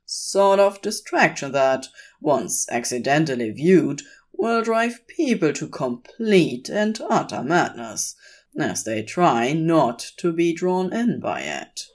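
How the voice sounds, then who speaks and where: A woman's voice: low voice, sing-song, slow, exaggerated voice. A woman voicing a flippant, exasperated, scheming male demon in a fan fiction reading. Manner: flippant, sardonic. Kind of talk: audio fan fiction dialogue.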